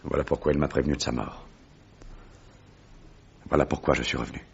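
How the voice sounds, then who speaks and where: A very deep, rough-edged male voice in a muffled, lo-fi radio drama, a grave and proud explorer. He speaks formally and heavily, like someone haunted by a lost love. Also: fairly even intonation, a little fast, heavily acted. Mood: grave, sorrowful. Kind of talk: French radio drama acting. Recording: dull, lo-fi old radio recording.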